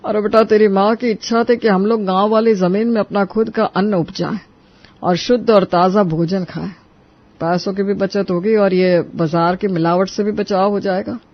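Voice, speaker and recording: A man acts out a stubborn older father-in-law in a family cartoon tale. He is high-voiced and emphatic with a folksy turn of phrase, on a slightly dull track. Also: steady tone, normal speed. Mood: firm. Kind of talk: Hindi moral cartoon story acting. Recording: dull, band-limited track.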